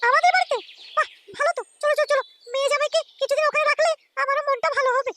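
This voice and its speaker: Shrill, extremely high cartoon mother-in-law. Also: steady tone, racing. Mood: coaxing. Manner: coaxing, fussy. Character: meddling, sly middle-aged mother-in-law, coaxing and complaining. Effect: pitched-up chipmunk-like voice. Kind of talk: comic cartoon dialogue. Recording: loud noise, clicks, chirping birds.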